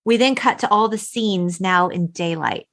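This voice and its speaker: Expressive woman hosting a podcast. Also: mid-range voice, normal speed, vocal fry. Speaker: podcast host. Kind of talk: animated film chat, retelling and reading out quotes.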